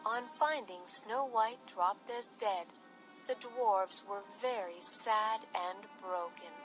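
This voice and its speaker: A woman's voice: medium pitch, slow, low-quality recording. A woman storyteller reading a scripted bedtime story for children. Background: music bed.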